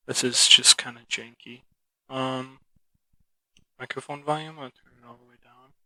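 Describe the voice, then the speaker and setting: Guy rambling thru a gadget review on a cheap mic, bright edgy voice, real dry. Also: mid-range voice, dry recording, rough recording. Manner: rambling, relaxed, thinking aloud. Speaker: product reviewer. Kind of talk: casual unscripted product review. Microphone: cheap mixer-connected microphone.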